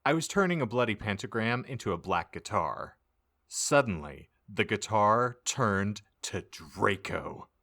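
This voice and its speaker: Deep, animated male comic narrator. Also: a little slow. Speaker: podcast host reading aloud. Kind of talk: dramatic comic audiobook narration.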